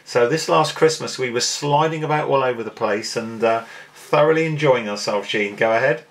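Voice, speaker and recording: Chatty English man with a gravelly voice. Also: mid-range voice, moderate pace. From England. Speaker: amateur radio operator. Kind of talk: casual radio contact chat.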